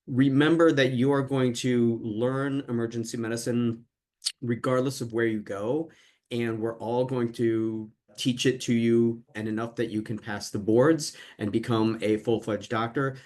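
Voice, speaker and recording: Measured man giving advice on a panel. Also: mid-range voice, fairly even intonation, darkish tone. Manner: thoughtful, measured, hedging advice. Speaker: clerkship director. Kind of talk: panel webinar answer.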